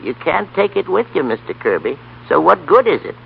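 A man's voice: sits high, very animated, a little fast, gravelly, slightly rough, phone-quality sound, full character voice. An actor playing a kindly, plain-spoken old grandfather in folksy 1930s radio style. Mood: gently chiding. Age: older.

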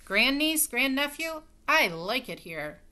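Woman doing a slow, bright-voiced old great-aunt. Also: sits high, big pitch swings, pitched above the performer's own voice, exaggerated voice. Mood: pleased, declaring. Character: blunt, hungry old great-aunt in a storybook. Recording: webcam sound with faint noise.

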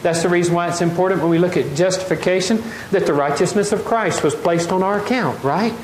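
A middle-aged man preaching a lively sermon, often asking the congregation questions. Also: high-pitched, brisk. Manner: animated, gestures widely, asks the congregation questions. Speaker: pastor. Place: large wooden open-air church hall. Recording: echoey hall, faint noise.

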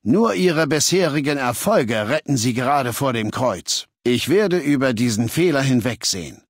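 Game villain: imperious legion warlord, deliberate, condescending, commanding, slightly rough-edged mid-pitched voice. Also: male voice, animated, no room sound, studio quality. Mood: stern, cold. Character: imperious, menacing warlord ruler of a slaver legion. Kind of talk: video game dialogue in German dub.

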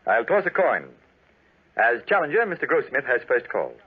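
An actor playing a fair-minded, frank gentleman, a reluctant peacemaker in 1940s radio drama. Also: mid-range voice, huge pitch swings, normal speed, husky. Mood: businesslike. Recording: tinny, worn 1940s radio recording.

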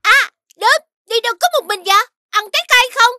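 A woman's voice: very high voice, animated, brisk, pushed and bright, no room sound, studio quality, heavily acted. A woman voicing a friendly, wise little cartoon turtle, childlike and confidently instructive, with a southern Vietnamese accent. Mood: cheerful. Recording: music behind the voice.

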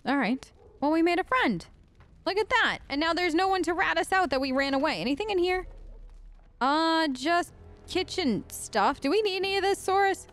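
High, animated woman chatting through a game. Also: moderate pace. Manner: flippant, chatty, joking in character. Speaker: let's play host. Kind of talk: casual improvised role-play game commentary.

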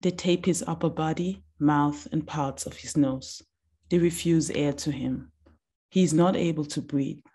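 Low, dark-toned woman reading slowly. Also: steady tone, slightly rough. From Austria. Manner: slow, deliberate recitation. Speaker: writer reading a reflection. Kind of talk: measured reflective reading. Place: small room indoors. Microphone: video call.

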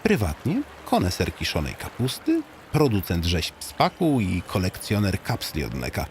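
Male comic audiobook narrator, lowish register, highly varied intonation, mock-solemn guide addressing the listener. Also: normal speed, slight background noise. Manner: tongue-in-cheek mock-solemn storytelling with animated swings.

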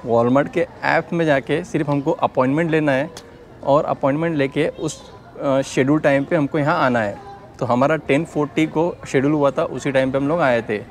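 Here's an Indian man in his thirties, chatting to the camera from a big store aisle. His voice sits a little high for a man, and his talk is earnest as he walks through each step. A small clip-on mic picks it up close. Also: normal speed. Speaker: vlogger. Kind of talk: casual vlog talk mixing Hindi and English.

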